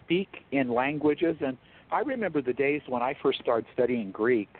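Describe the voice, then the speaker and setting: A man speaking as a guest theologian in an interview, explaining in a measured, thoughtful, scholarly way over a thin phone-like line. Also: medium pitch, animated. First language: English.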